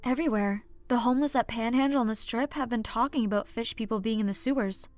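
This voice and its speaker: A woman's voice: fairly high pitch, fast talker, faint music behind, close to an everyday voice. A woman voicing a wry, gossipy female bartender in an audiobook, chatty and matter-of-fact. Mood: concerned, informative. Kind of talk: scripted audiobook character acting.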